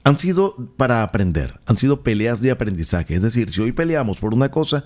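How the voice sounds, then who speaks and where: A man with a Colombian Caribbean accent, a radio announcer co-hosting a podcast, telling stories in a playful, drawn-out way. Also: mid-range voice, big pitch swings, darkish tone. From Colombia, Caribbean coast. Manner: playful storyteller, drawn-out phrasing. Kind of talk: casual podcast chat.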